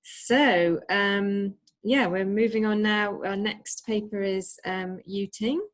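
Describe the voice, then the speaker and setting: A woman's voice: medium pitch, steady tone, slow pace. A woman informally chairing an online conference panel, effusive and appreciative in manner.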